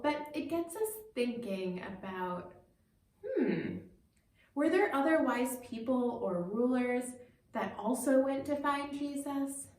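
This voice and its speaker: A woman pastor telling a story to children, explaining things simply and addressing them directly, recorded with a roomy echo from a distant microphone. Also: a little high.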